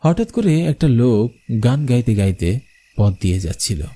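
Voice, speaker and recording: A man's voice: mid-range voice, sing-song, moderate pace, warm tone. A man narrating a scripted cartoon horror story in the first person with suspenseful, dramatic delivery.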